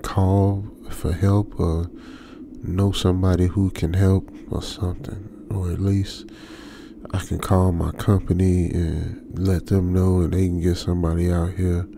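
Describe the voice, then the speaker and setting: Man acting as a slow, low-voiced jittery young trucker. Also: little pitch movement, gravelly, background noise. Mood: weary, resigned. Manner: halting, muttering, colloquial slangy self-talk. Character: young male trucker, stranded, jittery, talking to himself. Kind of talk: ASMR old-school radio drama monologue.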